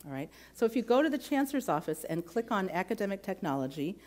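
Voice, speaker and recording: A woman's voice: medium pitch, big pitch swings, fast, darkish tone. A middle-aged woman from the American Midwest speaking as a candidate at a campus forum in a lecture hall, earnest and detailed, on a lapel mic. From Midwestern United States. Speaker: provost candidate.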